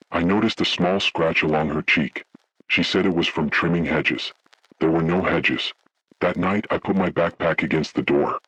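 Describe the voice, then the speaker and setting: A man voicing a haunted, grave survivor, narrating a scripted first-person horror story in slow, deliberate, ominous phrases with a gravelly, husky voice. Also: low voice, a bit bright, no echo, rough recording. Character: haunted man recounting childhood motel horror, grave, dread-filled.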